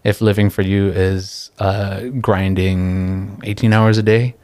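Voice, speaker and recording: A young man from the Philippines talks in a laid-back, thoughtful way, breaking off mid-sentence and chuckling now and then. His voice sits a little low. The recording is close and dry, with no room sound. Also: dry recording. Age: young adult. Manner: relaxed and reflective, pausing mid-thought, laughing easily. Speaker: guest. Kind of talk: casual interview chat.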